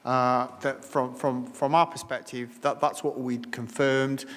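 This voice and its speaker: A man explains policy in a measured, careful way, often restarting his sentences. His voice sits in the middle of the male range and carries a long, reverberant ring from the council chamber. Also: mid-range voice, level tone, fairly bright tone. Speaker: council officer answering questions. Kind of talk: formal meeting explanation.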